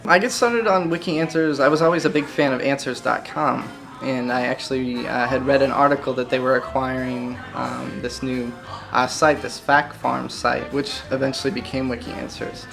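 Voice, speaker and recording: A man's voice: medium pitch, fairly even intonation, moderate pace, vocal fry, over music. A man from Chicago answering interview questions, hesitant with many ums and uhs. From Chicago, Illinois. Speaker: site volunteer.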